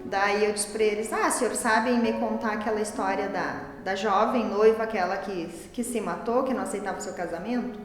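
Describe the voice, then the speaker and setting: A woman's voice: medium pitch, a little fast, hardly any pauses, a little hiss or hum. A woman in her forties from southern Brazil, with that region's accent, recounting stories in an interview. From Rio Grande do Sul, southern Brazil. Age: 40s. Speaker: adult student interviewee. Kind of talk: storytelling in interview. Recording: very echoey hall, music underneath.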